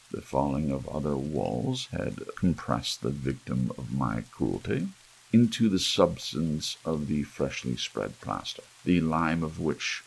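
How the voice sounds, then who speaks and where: Deep, gravelly man narrating a gothic tale. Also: poor audio. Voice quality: gravelly, husky. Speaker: audiobook narrator. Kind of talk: scripted gothic story narration, ASMR. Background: soft rain.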